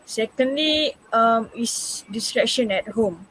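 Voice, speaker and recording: A woman's voice: fairly high pitch, expressive, slow pace, a bit bright, slight background noise, rough recording. Hesitant woman in her twenties. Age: 20s. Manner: hesitant, with frequent fillers and restarts. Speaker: student interviewee. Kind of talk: prepared class interview answers.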